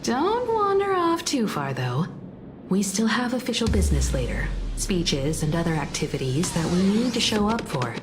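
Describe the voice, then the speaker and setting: A woman voicing a composed, refined adult character in a video game, wry and gently protective, over music. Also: medium pitch, very animated, normal speed, close to an everyday voice. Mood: gentle caution. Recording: loud music behind the voice.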